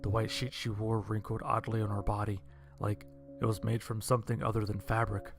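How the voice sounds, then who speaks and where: A man's voice: lowish voice, level tone, a little fast, vocal fry, over music. A man narrating a scripted first-person horror-comedy story in a dry, wry manner.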